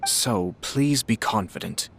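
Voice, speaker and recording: A man voice acting a courteous, shrewd young clan head in a game, speaking formally and with measured politeness. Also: medium pitch, animated, a bit bright, exaggerated voice. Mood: calm, probing.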